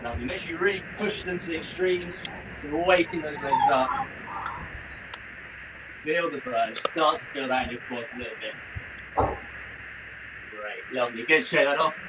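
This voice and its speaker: A man's voice: a little high, normal speed, pauses often. A man giving live exercise instructions in an upbeat, encouraging manner. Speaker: practitioner leading a warm-up class. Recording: noisy room sound, far from mic.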